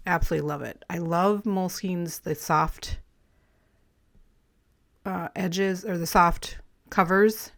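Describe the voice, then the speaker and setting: A woman with a slightly raspy voice, still clearing her throat after being ill, chatting casually and rambling as she talks through a crafting project. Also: lowish voice, takes it slowly, long pauses. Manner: relaxed, rambling, friendly, with light laughs. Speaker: crafting vlogger. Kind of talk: casual chatty voice-over while collaging.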